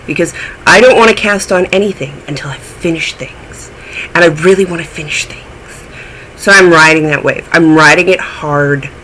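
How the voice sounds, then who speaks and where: A man's voice: fairly high pitch, normal speed, sharp tone, slight background noise, rough recording. A man hosting a knitting podcast, chatting in a rambling, self-deprecating way and sounding a little phlegmy. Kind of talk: casual chat.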